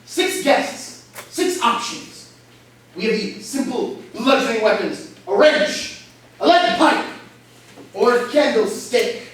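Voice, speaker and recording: A man's voice: extremely high pitch, animated, slow pace, a bit bright, full character voice. A man playing a formal, unflappable butler who speaks precisely in a comedic staged reading. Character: formal, unflappable butler hosting a murder mystery. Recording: echoey room, distant mic, faint noise.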